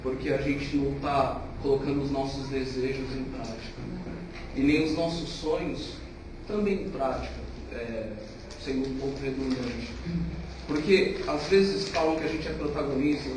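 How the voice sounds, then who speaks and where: A man's voice: medium pitch, moderate pace. A man in a group discussion, speaking earnestly and telling a personal anecdote. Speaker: discussion participant. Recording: echoey room, distant mic, noisy.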